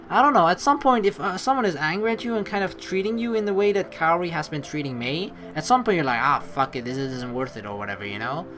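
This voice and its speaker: A man hosting a let's play, giving casual, reflective commentary in a rambling, halting way and reading dialogue aloud. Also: on the high side. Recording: game music behind the voice.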